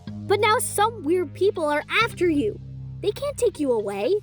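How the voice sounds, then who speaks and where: A woman voicing an impulsive, curious young woman who gets excited and bursts out easily. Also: very high-pitched, faint music behind, voice pushed up. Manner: excitable, quick to outbursts.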